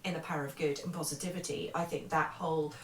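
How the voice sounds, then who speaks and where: A woman's voice: low voice, steady tone, quick pace. A woman in a casual interview conversation, talking earnestly and emphatically and repeating her phrases. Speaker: interviewer, spiritual medium. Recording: roomy, distant mic, background noise.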